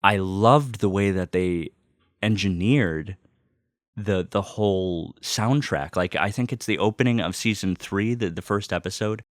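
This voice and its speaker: Deep-voiced man rambling casually, close and dry. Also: unhurried, no room sound. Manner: rambling, self-interrupting. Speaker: host of a personal vlog. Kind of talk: unscripted casual solo talk. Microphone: close personal microphone.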